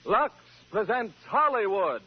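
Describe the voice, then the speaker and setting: A man's voice: high voice, big pitch swings, measured pace, heavy background noise. A man announcing a sponsored 1940s radio program in a formal, proclaiming style. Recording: muffled old 1940s radio recording. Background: loud orchestral music.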